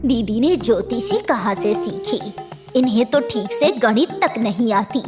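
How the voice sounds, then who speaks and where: A woman's voice: on the high side, animated, a little slow, over music. A woman voicing a jealous, suspicious village sister in a cartoon story. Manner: suspicious, scheming.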